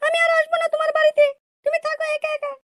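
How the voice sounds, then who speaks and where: A woman voicing an aggrieved young village wife in an amateur comedy cartoon, squeaky and scolding in an indignant, complaining way. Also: monotone, racing, heavy background noise. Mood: resentful refusal. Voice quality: squeaky, artificially high-pitched.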